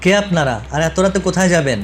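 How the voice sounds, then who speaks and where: Man acting male rickshaw driver, raised pitch, blunt, jumpy, cartoon horror, music behind. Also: animated, brisk. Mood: wary. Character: young male auto-rickshaw driver, blunt, easily frightened. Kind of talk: cartoon ghost story dialogue.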